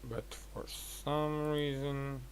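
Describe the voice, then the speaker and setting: Slow, creaky-voiced man on a noisy stream. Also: medium pitch, steady tone. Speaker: livestreamer. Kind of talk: casual livestream talk to viewers.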